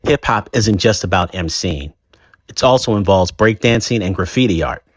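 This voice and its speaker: A man reading a first-person chapter book aloud in a conversational, expressive way. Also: fairly low pitch, normal speed, no echo, studio quality. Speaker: storybook reader.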